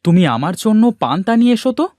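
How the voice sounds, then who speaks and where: Animated, exclaiming village boy, acted out. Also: mid-range voice, a little fast, soft background music, natural voice. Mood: earnest. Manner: eager, exclaiming. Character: good-hearted, humble poor village boy who loves simple food.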